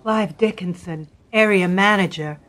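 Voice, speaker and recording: A woman's voice: medium pitch, unhurried, fairly bright tone, lightly acted. A woman playing a chatty bank worker who passes on office rumors in a radio play. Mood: gossipy. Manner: chatty, gossipy. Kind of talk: amateur radio play acting.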